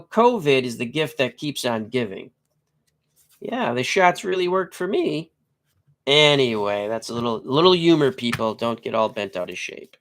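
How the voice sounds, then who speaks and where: A man's voice: medium pitch, a bit bright. Congested, nasal middle-aged man chatting. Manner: chatty and joking, reads player stats in short clipped bursts. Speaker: host of a tabletop baseball live stream. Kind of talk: casual live-stream chat and lineup reading.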